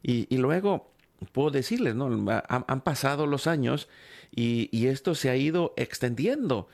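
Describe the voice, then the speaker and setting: A man's voice: mid-range voice, lively intonation, normal speed, a little mellow. A man hosting a faith program, telling a personal story in a reflective, hesitant way with many fillers and restarts.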